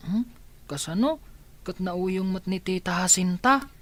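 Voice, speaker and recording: An actress playing a polite, timid orphaned child with a plaintive manner in an Ilocano radio drama. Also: female voice, lowish voice, unhurried, no echo, lightly acted.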